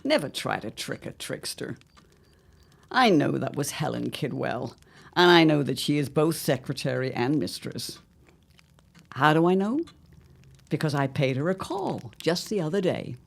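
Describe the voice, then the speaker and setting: A scheming, haughty middle-aged mother, played by a woman in an audio drama. Her voice is low and gravelly, and it swoops widely as she speaks in grand, theatrical, drawn-out phrases. She sounds shrewd and entirely sure of her power. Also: big pitch swings, exaggerated voice. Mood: sly, commanding. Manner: grand, theatrical, drawling delivery.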